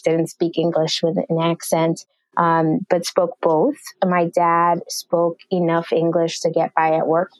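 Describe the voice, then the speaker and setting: Articulate woman guest, low dry voice. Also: a little mellow, dry recording, low-quality recording. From New York, USA. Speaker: podcast guest, marketing executive. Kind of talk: interview answers.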